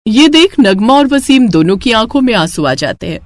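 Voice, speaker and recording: High-pitched woman narrating a children's story. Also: very animated, normal speed.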